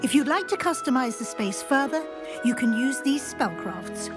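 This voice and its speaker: An actress playing a composed witch professor in a video game, giving instruction with brisk authority. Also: high-pitched, animated, music in the background. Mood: instructive. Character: brisk, composed female professor at wizard school. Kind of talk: video game dramatic acting.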